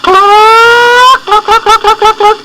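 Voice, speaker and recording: A man's voice: very high voice, little pitch movement, takes it slowly, very noisy, voice pushed up. A man voicing a motherly hen who clucks and fusses over the chicks in a sing-song way on a children's story record. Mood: calling.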